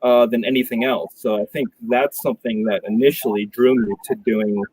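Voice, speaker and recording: A young man talking as a guest on a video call, relaxed and slangy, pausing on fillers. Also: mid-range voice, slightly rough, low-quality recording. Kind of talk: casual conversation over video call.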